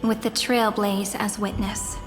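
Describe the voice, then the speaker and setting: An actress playing a gracious female chronicler who carries a huge book and speaks in a gentle, ceremonial way. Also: medium pitch, level tone, unhurried, faint music behind, lightly acted. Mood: poised. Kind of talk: video game voice acting. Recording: game soundtrack behind.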